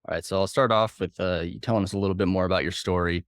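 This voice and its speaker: A man's voice: low-pitched, steady tone, fast talker, clean tone, no room sound. Male podcast host, short plain questions, dry close sound. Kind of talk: interview hosting.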